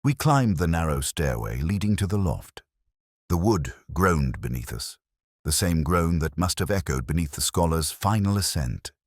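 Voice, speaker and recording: Very deep-voiced man narrating a mystery. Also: expressive, dry recording, studio quality. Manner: measured, solemn, literary delivery. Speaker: narrator of a detective story. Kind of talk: scripted first-person mystery narration.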